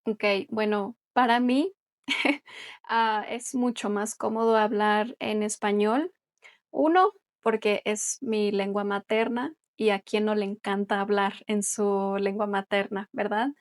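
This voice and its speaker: A woman's voice: medium pitch, fairly even intonation, takes it slowly. A Mexican woman who teaches Spanish, co-hosting a casual podcast and explaining things in a chatty way with light laughs. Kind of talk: casual conversational podcast chat.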